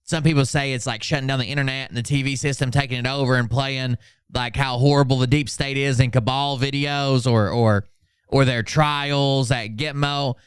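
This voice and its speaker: A man's voice: medium pitch, steady tone, normal speed, clean tone, dry recording. A man hosting a commentary stream in a sarcastic, mocking, profane manner. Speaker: host of a commentary livestream. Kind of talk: sarcastic reaction commentary with mock-dramatic readings.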